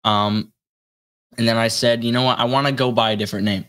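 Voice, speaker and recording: A young adult man chatting casually as an interview guest, with a halting, rambling delivery. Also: medium pitch, fairly even intonation, a bit bright. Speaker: guest, solo electronic musician.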